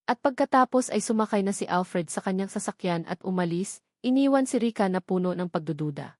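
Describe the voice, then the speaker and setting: A woman narrating a scripted romantic drama story in a measured, dramatic storytelling manner. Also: medium pitch, expressive, a little mellow, no echo, studio quality.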